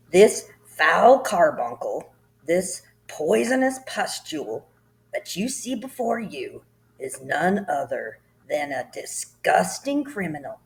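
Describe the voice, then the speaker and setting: A woman voicing a tyrannical headmistress who barks insults and shouts at a pupil in a storybook reading. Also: deep voice, gravelly, full character voice, poor audio. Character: bellowing tyrannical female headmistress, bullying.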